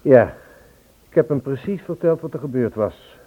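A man's voice: medium pitch, animated, moderate pace, natural voice. An actor playing an anxious, insistent man searching for a missing woman in a Dutch radio play. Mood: troubled recounting. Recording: husky, phone-like old radio play recording.

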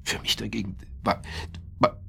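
An actor playing a grieving, guilt-obsessed widower in a radio crime drama, speaking haltingly and repeating himself. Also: male voice, bright, forceful tone, natural voice. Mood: bitter, sardonic. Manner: halting, repeats himself, sudden agitated outbursts.

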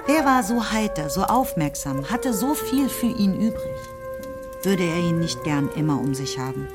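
Low-pitched woman narrator over music. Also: lively intonation. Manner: measured, storytelling. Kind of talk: scripted literary narration in a radio play. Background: instrumental music.

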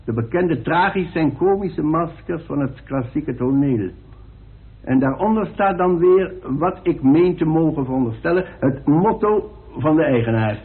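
A man playing a formal, dry-witted coroner in a radio drama, speaking in a measured, authoritative way. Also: on the high side, animated. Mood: formal, deliberate. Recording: tinny band-limited old radio recording.

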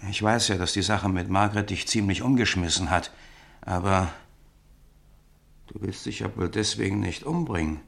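Ein Schauspieler spielt in einem deutschen Hörspiel einen misstrauischen Mann, der widerwillig eine Waffe verkauft und bedächtig nachbohrt. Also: male voice, low-pitched, gravelly, a bit bright. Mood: probing, needling. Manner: probing, deliberate, questioning. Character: wary man reluctantly selling a revolver, probing and needling. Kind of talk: German radio play acting.

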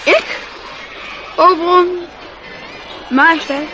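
A woman's voice: very high-pitched, fairly even intonation, slow pace, dull audio. A woman playing a curious, naive young boy in a radio play, wide-eyed and hesitant. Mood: curious, awed. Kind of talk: Dutch radio play acting. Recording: faintly noisy radio play recording.

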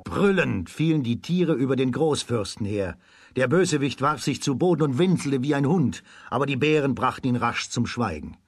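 A man giving measured, scripted storytelling narration for a children's radio drama. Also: mid-range voice, lively intonation, husky.

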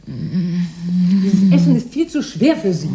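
An actress playing a caring, cautious doctor who is protective and soothing, in a German radio drama. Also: female voice, fairly low pitch, very animated, takes it slowly, mellow, a little hiss or hum, heavily acted. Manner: soothing, concerned.

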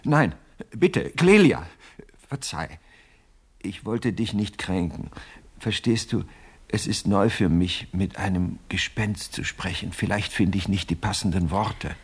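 An actor playing a pompous, miserly older widower in a radio play, self-justifying and fussily indignant, with a husky voice. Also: male voice, low voice, lively intonation, slow, full character voice. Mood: pleading, self-justifying.

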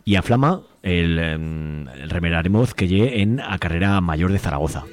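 A man's voice: sits low, lively intonation, normal speed. A man presenting informal announcements on the radio.

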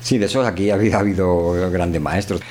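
Low-voiced, chatty man from radio, Castilian accent. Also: steady tone, fast talker, darkish tone, a little hiss or hum. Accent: Castilian Spanish, Spain. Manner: colloquial, rambling. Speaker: radio show host. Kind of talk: informal, chatty monologue and discussion.

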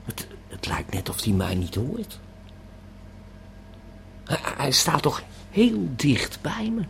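Man acting a slow, stammering, bewildered tripper. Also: a little high, huge pitch swings, creaky, slight background noise, full character voice. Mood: hushed bewilderment. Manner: stammering, hesitant, repeating words. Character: panicky, bewildered male holidaymaker tripping on a pill. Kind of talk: first-person audio drama monologue.

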